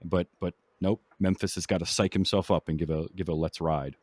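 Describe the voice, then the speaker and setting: Low-voiced man on a podcast, dry sound. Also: fairly even intonation, a little fast, a little mellow, no echo. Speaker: podcast host. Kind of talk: casual film discussion.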